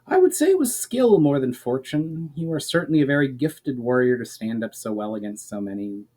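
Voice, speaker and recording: A man voicing a calm, courteous elf spell-weaver in a formal, measured way for a fantasy story. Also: mid-range voice. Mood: kindly.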